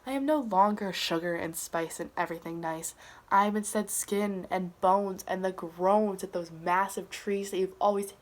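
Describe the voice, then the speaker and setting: A woman performing a slam poem in a dramatic, earnest and deliberate delivery. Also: medium pitch. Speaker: slam poet. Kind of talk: slam poetry recitation.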